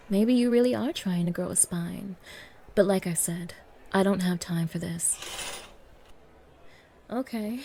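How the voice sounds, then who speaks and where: Casting: actress as spoiled young gold digger, domineering and condescending, soft dark tone, ASMR roleplay, some background noise. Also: female voice, medium pitch, lightly acted. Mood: scornful. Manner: condescending, mocking, bossy. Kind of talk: ASMR roleplay audio acting.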